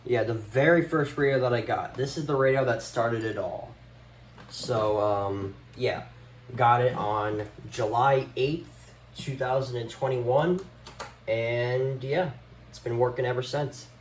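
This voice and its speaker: A man talking casually off the cuff in a halting, offhand way, showing items from a collection. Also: medium pitch. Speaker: vlogger showing a radio collection. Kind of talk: casual unscripted product show-and-tell. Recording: echoey room, background noise.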